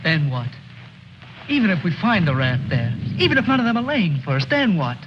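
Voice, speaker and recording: Rattled, pleading young infantryman; highish voice, noisy vintage war-film sound. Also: very animated, brisk. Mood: agitated, fretful. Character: jumpy, fretful young soldier, easily rattled. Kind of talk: 1950s war film acting. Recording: echoing, noisy, dull old film soundtrack.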